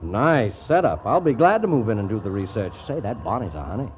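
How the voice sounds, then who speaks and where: A man's voice: fairly low pitch, very animated, a little fast, slight background noise. An actor playing a breezy young ladies' man in 1940s radio comedy, a glib, wheedling schemer. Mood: breezy, scheming. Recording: dull 1940s radio recording.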